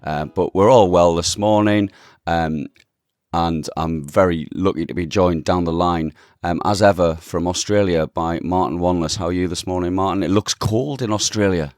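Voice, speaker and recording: A man hosting a football podcast, hoarse this morning, recapping the match in a chatty, enthusiastic way. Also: deep voice, moderate pace, a little mellow, dry recording, clean studio sound. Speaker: podcast host. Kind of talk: casual football podcast chat.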